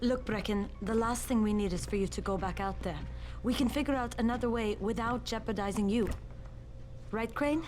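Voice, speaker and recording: A woman's voice: on the high side, moderate pace, a little mellow, faint music behind, natural voice. An actress playing a tough, urgent young woman survivor in a video game. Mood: insistent.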